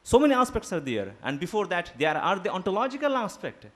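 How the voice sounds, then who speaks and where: A man whose first language is Urdu, giving a formal seminar lecture in a deliberate academic manner, pausing with fillers. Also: high voice, huge pitch swings, a bit bright. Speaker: guest lecturer. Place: seminar hall.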